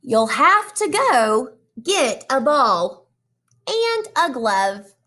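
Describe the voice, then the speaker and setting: A woman reading a children's storybook aloud like a teacher, expressive and deliberate, speaking directly to young listeners. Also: high voice. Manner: addresses children directly with questions and praise.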